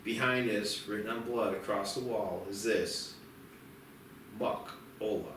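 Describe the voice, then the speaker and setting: A man's voice: on the low side, steady tone, measured pace, fairly bright tone, slight background noise. Very echoey male screenplay narrator. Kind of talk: scripted narration of stage directions at a table read. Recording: very echoey, distant, music behind.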